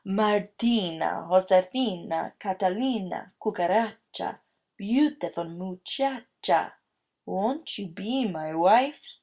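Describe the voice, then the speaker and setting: A woman's voice: mid-range voice, a little mellow. A woman voicing a vain male rooster suitor with a hot temper in a storybook, boastful and drawing out the words. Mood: crooning. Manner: crooning, boastful, drawn-out words.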